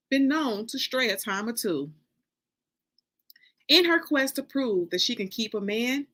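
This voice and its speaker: An American woman, an author, reading her first-person novel aloud in an expressive, deliberate, dramatic way. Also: medium pitch. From Detroit, Michigan, United States.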